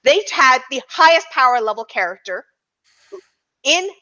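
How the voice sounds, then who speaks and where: A woman with a bright, forceful voice talks through films as a podcast panelist. She is casual but opinionated and emphatic. The audio is somewhat dull and lo-fi, and her pitch sits fairly high. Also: sing-song, moderate pace. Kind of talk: casual film discussion.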